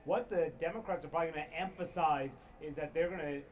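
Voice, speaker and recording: A man's voice: on the high side, fast. A man delivering a live television news report as a correspondent. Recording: faint ambient noise, live TV report.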